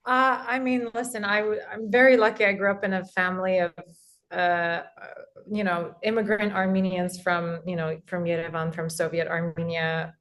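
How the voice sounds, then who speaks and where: A woman's voice: lowish voice, little pitch movement, normal speed, a bit bright. Upbeat, laughing woman actor. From Quebec, Canada. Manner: upbeat, laughs often, many fillers. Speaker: actress in the play. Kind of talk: interview guest.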